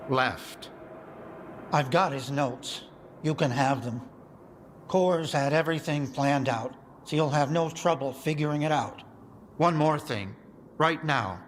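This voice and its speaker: Guy voicing worried zone survivor in a game, earnest, medium pitch, loud background hum. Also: close to an everyday voice. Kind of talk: video game dialogue. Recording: game audio with clear ambient noise.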